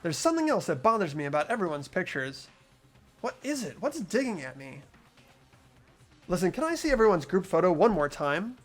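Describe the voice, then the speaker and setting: Playthrough host, game voice acting, animated pitch, steady deduction. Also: fairly quick, faint music behind. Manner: steady deductive reading, laughs between lines. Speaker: host and lead voice actor of a playthrough. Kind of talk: narrating and voicing a game protagonist, casual chat.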